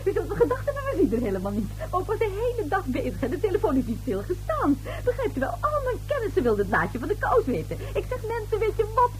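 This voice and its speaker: A woman's voice: very high-pitched, huge pitch swings, fast, few pauses, darkish tone, slight background noise, heavily acted, poor audio. An actress playing a tipsy, gossipy neighbour lady in a radio play, chatty, coy and giggly. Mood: breathless, chatty. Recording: old radio drama recording.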